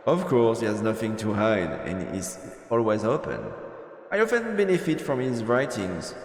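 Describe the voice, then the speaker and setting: A man streaming a let's-play, reading game text aloud in a halting way and commenting as he goes. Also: fairly low pitch, big pitch swings. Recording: roomy long reverb, game music behind. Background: video game music.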